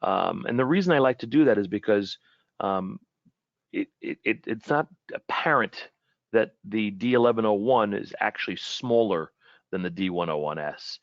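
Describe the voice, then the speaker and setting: A man giving an informal webinar product presentation in a halting way, often repeating and rephrasing his words. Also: fairly low pitch, lively intonation, no room sound, highs cut off.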